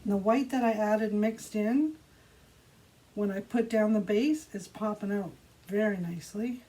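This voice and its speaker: A woman's voice: medium pitch, mellow, rough recording. A woman hosting an art tutorial, chatting casually and narrating each step as she works. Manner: unhurried step-by-step narration of own actions. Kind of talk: casual craft demonstration, talking while working. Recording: clearly audible background noise.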